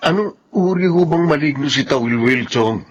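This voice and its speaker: A man's voice: a little high, animated, moderate pace, over music, natural voice. An actor playing a curious, uneasy young man asking hesitant questions in a Filipino radio horror drama, with a Filipino accent. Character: curious, uneasy young male relative of healer. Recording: dull, noisy with clicks.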